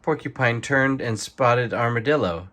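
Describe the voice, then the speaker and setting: A man reading a children's bedtime story aloud in an expressive narrating style. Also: medium pitch, measured pace. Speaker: storybook reader.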